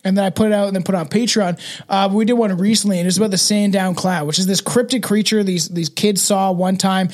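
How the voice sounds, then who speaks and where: A man's voice: sits high, steady tone, quick pace, hardly any pauses, a little mellow. A man in his thirties chatting casually as a podcast host, rambling and slangy with frequent swearing. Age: 30s.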